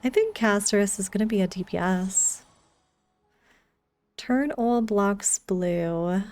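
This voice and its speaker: A woman's voice: mid-range voice, expressive, takes it slowly, darkish tone, no room sound. A woman streaming a video game, chatting casually with her viewers as she plays. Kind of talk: casual chat with viewers during gameplay.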